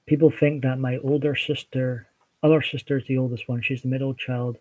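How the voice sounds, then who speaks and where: A Scottish man hosting a casual livestream, chatting with viewers and answering their comments in a relaxed, meandering way. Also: mid-range voice, brisk, poor audio. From Scotland. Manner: chatty, relaxed, with hesitant restarts. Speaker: livestream host.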